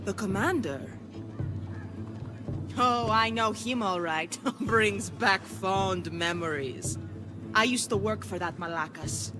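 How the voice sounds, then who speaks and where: A woman's voice: mid-range voice, slow, music in the background, close to an everyday voice. An actress playing a defiant, streetwise peasant mother in a video game, feisty and earnest. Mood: relieved, wry. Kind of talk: video game cutscene acting.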